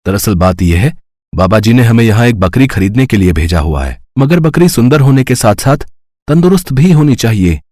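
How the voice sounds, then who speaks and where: Casting: man as a solemn, obedient guru's follower in a cartoon fable, lively pitch movement. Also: moderate pace, no room sound, clean studio sound. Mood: earnest. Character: obedient, earnest disciple of a holy man.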